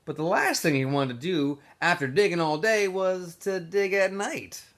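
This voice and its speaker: A man reading a novel aloud to students in an expressive, storybook style with emphatic phrasing. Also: sits high, normal speed.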